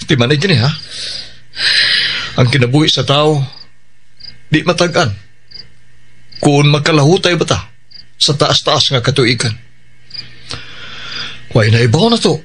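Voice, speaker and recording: An actor playing a devout working father with a Bisaya Filipino accent, gently giving advice in a radio drama. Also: on the high side, slight background noise. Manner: gently admonishing, earnest.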